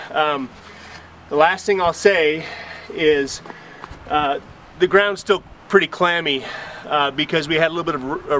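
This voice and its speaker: Man in his forties, slightly high voice, matter-of-fact, casual how-to talk, faint outdoor noise. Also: fairly bright tone. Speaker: tradesman host explaining plumbing work. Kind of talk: casual instructional talk to camera. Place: outdoors beside a house at a dig site.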